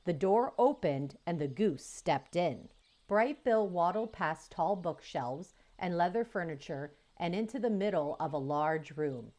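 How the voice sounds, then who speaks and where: A woman reading a children's chapter book aloud in an expressive, lively way. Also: lowish voice, unhurried. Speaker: storyteller. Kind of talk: children's audiobook read-aloud.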